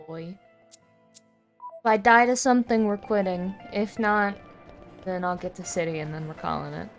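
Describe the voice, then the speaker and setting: A woman streaming a video game with casual, offhand commentary. Her voice sits at a middle pitch, with faint game music behind it. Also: normal speed, creaky.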